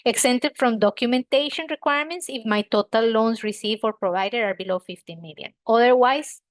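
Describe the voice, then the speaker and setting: A woman's voice: fairly high pitch, no room sound. A woman presenting a professional webinar in an explanatory, emphatic way, like a lecturer walking listeners through points. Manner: explanatory, emphatic, poses questions then answers them.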